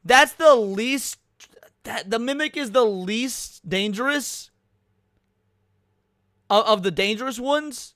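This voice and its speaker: Guy streamer reacting, high clear voice, slangy and sweary, close dry sound. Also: slow, long pauses, a bit bright, no echo. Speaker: livestreamer reacting to a horror video. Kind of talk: casual reaction commentary.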